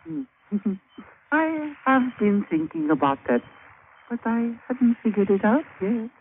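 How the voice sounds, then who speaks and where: An actor playing a sly, treacherous island native with slow, sardonic, mockingly polite speech in an old radio drama. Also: male voice, sits high, very animated, exaggerated voice. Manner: slow, sardonic, drawn-out phrasing with broken grammar. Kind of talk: 1940s-50s radio drama acting. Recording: tinny, noisy old radio broadcast.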